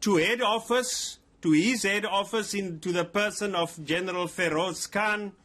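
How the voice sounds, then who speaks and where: A man whose first language is Afrikaans, speaking English with an Afrikaans South African accent, making deliberate, emphatic points in a formal TV interview. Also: sits high, fairly bright tone. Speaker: interviewee, police officer.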